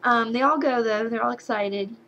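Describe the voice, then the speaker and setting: A woman's voice: on the high side, normal speed, poor audio. A young woman with a slight Southern accent reviewing a horror movie in a casual, sarcastic way, ranting with exasperation at the characters. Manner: sarcastic, exasperated, animated rants.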